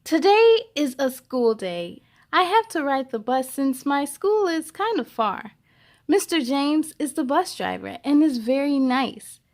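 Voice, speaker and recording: A woman's voice: sits high, big pitch swings, measured pace, heavily acted. A woman reading as a shy, earnest young schoolgirl in a storybook, confiding in simple phrases. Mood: nervous, sad. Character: shy, nervous, earnest schoolgirl narrating her storybook life.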